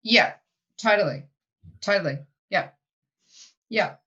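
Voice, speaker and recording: A woman hosting an improv podcast, talking casually over a video call, chatty and animated, full of "you know" and "like". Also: medium pitch, takes it slowly, dull audio. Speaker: podcast host and improv teacher. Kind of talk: casual interview chat.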